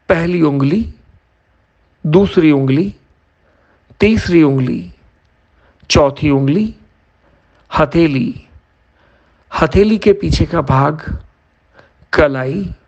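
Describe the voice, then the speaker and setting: Guy doing guided yoga nidra, really slow, gravelly creak in his voice, short measured phrases. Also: fairly high pitch, a little mellow, muffled recording. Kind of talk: guided meditation instruction.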